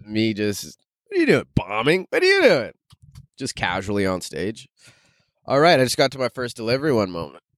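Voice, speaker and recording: A man's voice: mid-range voice, huge pitch swings, fairly quick, dry recording, clean studio sound. A man hosting a solo podcast, rambling casually and loosely in informal, sweary language like an amateur comedian.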